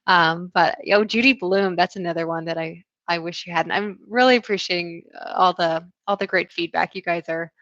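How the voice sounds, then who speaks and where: A woman's voice: lowish voice, normal speed, hardly any pauses, dry recording. Chatty Canadian woman, dull dry sound. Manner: chatty, relaxed, many fillers and soft laughs. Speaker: booktube host. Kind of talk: casual livestream Q&A.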